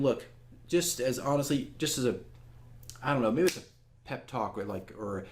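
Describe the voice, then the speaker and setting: A man's voice: medium pitch, a little mellow. Candid man reflecting as a dungeon master. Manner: earnest, self-critical, conversational. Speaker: dungeon master giving a campaign diary. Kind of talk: candid casual monologue to viewers.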